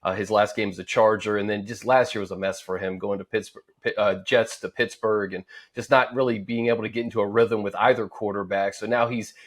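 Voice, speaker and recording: A man's voice: fairly low pitch, fairly even intonation, fairly quick, hardly any pauses. A man hosting a sports talk discussion, setting up topics and posing questions to guests. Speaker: sports show host.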